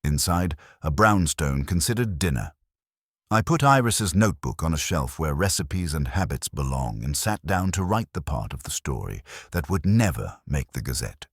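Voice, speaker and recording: A man's voice: extremely low pitch, breathy edge, no room sound, clean studio sound. A man narrating a detective story in the first person, reading from a script. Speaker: audiobook narrator.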